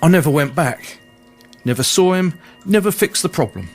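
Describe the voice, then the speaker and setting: A matter-of-fact plumber, lively delivery. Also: male voice, a little high, normal speed, faint music behind. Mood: chatty, reflective. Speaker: actor in radio drama. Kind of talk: naturalistic radio drama acting.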